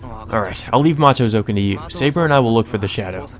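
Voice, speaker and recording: A man voicing an earnest, easily flustered teenage schoolboy in a visual novel, blunt and excitable. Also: fairly low pitch, fast talker, soft background music, natural voice. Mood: resolved. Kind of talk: visual novel character read-aloud.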